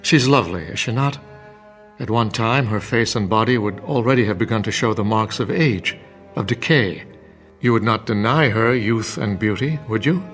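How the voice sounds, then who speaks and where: An actor playing a paternalistic state psychiatrist in a radio drama, speaking in a formal, measured, authoritative way. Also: male voice, fairly low pitch, a bit bright, lightly acted. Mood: persuasive. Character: smooth, paternalistic, insistent male state psychiatrist professor. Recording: music bed under voice.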